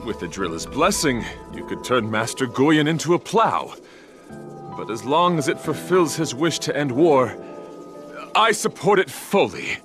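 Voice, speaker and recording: An actor playing an earnest, loyal visiting envoy in a video game. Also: male voice, medium pitch, big pitch swings, unhurried, over music. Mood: earnest, resolved. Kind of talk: scripted game voice acting.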